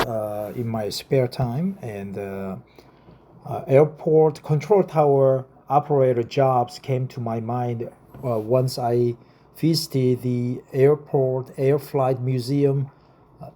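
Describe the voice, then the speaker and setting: A man in his fifties from South Korea with a Korean accent, reading information aloud with casual personal asides. He is halting and deliberate and often repeats phrases. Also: medium pitch, mellow. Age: 50s. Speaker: vlogger explaining a career path.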